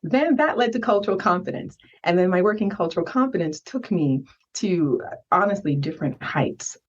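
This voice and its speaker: Brief: Haitian-born woman guest, low husky voice, emphatic, recorded far off with a dull sound. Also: animated, normal speed. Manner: emphatic, tags lines with "right?". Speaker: guest consultant. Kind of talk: casual interview talk. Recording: muffled distant mic.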